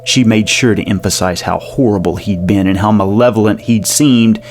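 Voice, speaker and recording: A man narrating a scripted gothic horror audio drama in a measured, dramatic delivery. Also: fairly low pitch, fairly even intonation, darkish tone, slight background noise. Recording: music under the voice.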